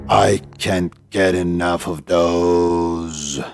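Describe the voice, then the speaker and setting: A man voicing a hungry, menacing giant in a children's storybook, chanting in a drawn-out sing-song. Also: deep voice, fairly even intonation, music in the background, heavily acted. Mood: menacing, gloating. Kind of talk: children's storybook character acting.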